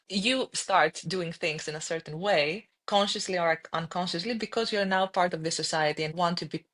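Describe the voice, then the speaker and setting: Low-voiced woman founder. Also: a bit bright. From Greece. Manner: reflective, frequent restarts and fillers. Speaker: guest, startup founder. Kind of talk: podcast interview answers.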